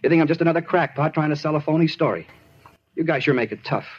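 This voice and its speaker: An aggrieved, disturbed American suspect in an old radio crime play. He rambles insistently and repeats himself in a husky, hurried voice, on a dull vintage recording. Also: on the high side. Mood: aggrieved, pleading. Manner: rambling, repetitive, insistent, plain-spoken. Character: talkative murder suspect, earnest, aggrieved, disturbed man. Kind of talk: 1950s radio drama acting.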